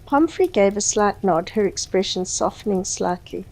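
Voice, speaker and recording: A woman's voice: medium pitch, expressive, normal speed, a little mellow, low-quality recording. A woman narrating a scripted audio story in the third person.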